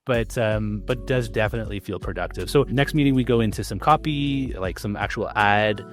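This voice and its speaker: A man's voice: on the low side, moderate pace. A man hosting a casual business conversation, talking in a loose, chatty way with many fillers and restarts. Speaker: host.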